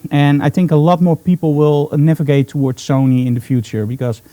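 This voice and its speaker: A man with a Dutch accent speaks as the host of a live broadcast. His voice sits a little high with a mellow, dark tone, recorded dry and close. He explains things informally, with enthusiasm and emphasis. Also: fairly even intonation, fairly quick, no room sound. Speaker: host, photographer.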